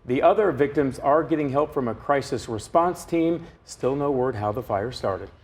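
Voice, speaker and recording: A man reads scripted television news as an anchor. His voice sits at an ordinary middle pitch for a man. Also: moderate pace, darkish tone.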